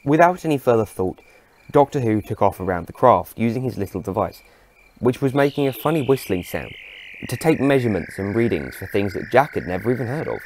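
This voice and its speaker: A man's voice: mid-range voice, big pitch swings, a little fast, darkish tone, a little hiss or hum. A man narrating a scripted adventure story as its storyteller.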